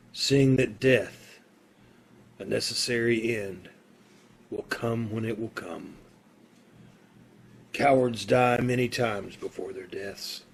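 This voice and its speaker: A man playing a condemned prisoner in a radio play, tender and quietly brave, speaking with hesitation. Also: mid-range voice, gravelly, slight background noise. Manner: hesitant, halting delivery. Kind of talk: old-time radio play acting. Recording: home video-call recording with music.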